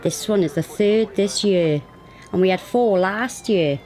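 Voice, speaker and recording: A woman's voice: medium pitch, a little slow, warm tone, lightly acted. A woman playing a matter-of-fact police sergeant in a radio play, chatty and dry. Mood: dry, informative. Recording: siren and vehicle noise behind.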